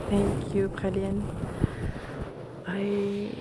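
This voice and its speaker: Young woman hosting an ASMR stream: soft-spoken and whispery, leisurely pace, heavy noise behind her. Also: medium pitch, extremely slow. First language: Italian. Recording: loud steady background noise.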